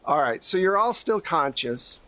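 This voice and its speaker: Man, fairly high voice, game master, conversational horror narration, tabletop podcast host. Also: big pitch swings, normal speed, pushed and bright.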